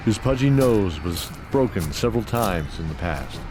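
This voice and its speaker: A man narrating a scripted fantasy audio drama in a measured, descriptive storytelling manner. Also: lowish voice, lively intonation, noisy background. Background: music.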